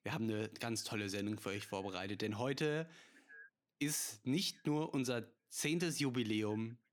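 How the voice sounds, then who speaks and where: A man's voice: fairly low pitch, normal speed. A man hosting a loose, jokey podcast chat. He rambles through his lines and keeps breaking into laughter at his own gags. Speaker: podcast host.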